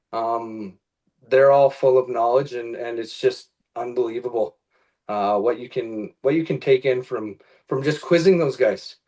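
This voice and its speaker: A man telling a relaxed story on a video call, pausing with fillers. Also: medium pitch. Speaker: team member on a call.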